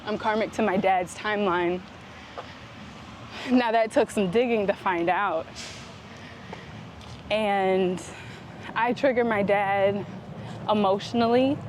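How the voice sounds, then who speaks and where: A woman speaking reflectively and conversationally as a vlog host, with deliberate, halting phrasing and emphatic pauses. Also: mid-range voice. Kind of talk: reflective conversational talk while walking. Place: outdoors, walking up a paved hill. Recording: noisy outdoor recording with traffic.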